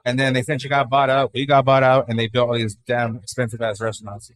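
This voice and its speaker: A man's voice: mid-range voice, level tone, dry recording. A man in his twenties from Dallas, a new stand-up comic, chatting casually as a podcast guest with lots of fillers and swearing. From Dallas, Texas. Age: 20s. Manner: rapid, filler-heavy, profane. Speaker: guest comedian. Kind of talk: casual interview chat.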